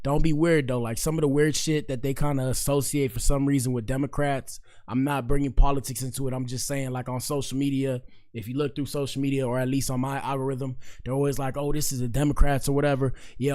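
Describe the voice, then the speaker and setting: A young man from Miami-Dade County with a hoarse, partly lost voice, hosting a casual podcast livestream. He rambles loosely in slang and speaks straight to his chat. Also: medium pitch, level tone, a little fast, a little mellow. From Miami-Dade County, Florida. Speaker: podcast host.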